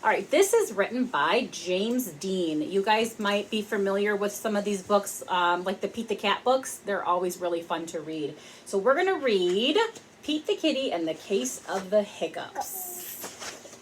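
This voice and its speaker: Expressive woman reading to kids. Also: medium pitch, measured pace, slight background noise. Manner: expressive, speaks directly to young children. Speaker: parent and teacher reading aloud. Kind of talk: children's picture book read-aloud.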